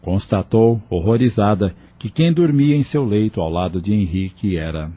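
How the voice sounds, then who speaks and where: A man's voice: lowish voice, expressive, moderate pace, warm tone. A man narrating a scripted audio novel as a storyteller.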